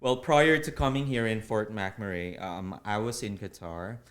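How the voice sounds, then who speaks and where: Low-pitched Filipino man, deliberate interview guest. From Philippines. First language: Filipino (Tagalog). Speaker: interview guest, public speaking club officer. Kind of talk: earnest interview explanation.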